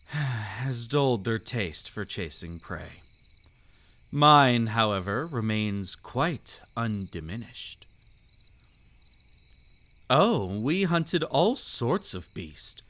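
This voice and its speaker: A man's voice: mid-range voice, a bit bright. A man voicing a suave, predatory old vampire count in a scripted roleplay audio, with an aristocratic, silky, teasing and theatrical delivery. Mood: relishing, sinister.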